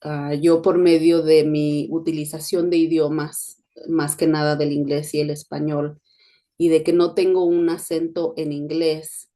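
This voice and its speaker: A middle-aged Mexican-born woman speaks in a neutral Spanish accent, her voice low and warm. She talks in a steady, flat-toned, reflective way, like a host sharing her story on a podcast. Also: a little slow. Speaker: podcast host, education consultant. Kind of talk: personal monologue podcast.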